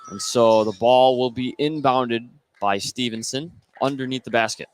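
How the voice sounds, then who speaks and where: A man's voice: medium pitch, steady tone, moderate pace, slight background noise. A man doing live play-by-play radio commentary of a basketball game.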